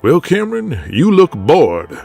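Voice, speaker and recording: A man acts a folksy cowboy wrestler in an audio drama. He is genial and full of swagger, with a gravelly edge to the voice. Soft music runs underneath. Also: a little high, wildly swooping pitch, a little slow, full character voice. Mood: kindly, playful.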